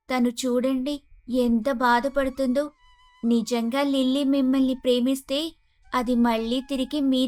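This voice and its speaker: A woman playing an earnest young daughter-in-law in an animated moral story, over music. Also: high voice, dry recording. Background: faint music.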